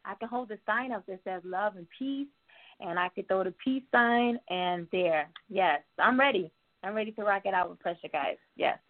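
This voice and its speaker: A woman hosting a relaxed interview, talking in a chatty, playful way and laughing easily. Her voice sits in a middle pitch and comes through a narrow, phone-like line. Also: normal speed. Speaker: interview host. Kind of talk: casual interview over a call.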